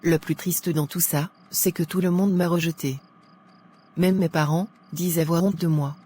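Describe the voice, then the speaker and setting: A woman's voice: lowish voice, fairly even intonation, moderate pace, warm tone, breathy edge. Woman acting a dejected, rejected young woman.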